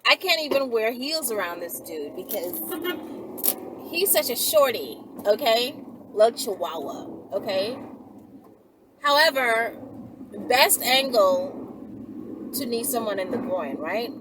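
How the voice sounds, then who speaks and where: Woman vlogger, fairly high voice, blunt slangy storyteller with animated delivery, noisy ambient recording. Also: takes it slowly, pauses often. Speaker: vlogger and channel host. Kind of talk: casual chatty first-person storytelling.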